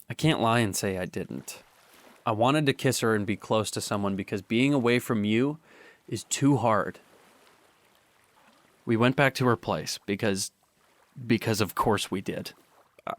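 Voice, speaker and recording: A man's voice: mid-range voice, measured pace, long pauses, close to an everyday voice. A man playing a guilt-ridden young adult confessing to his girlfriend in a hesitant, earnest radio drama monologue. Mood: guilty, regretful.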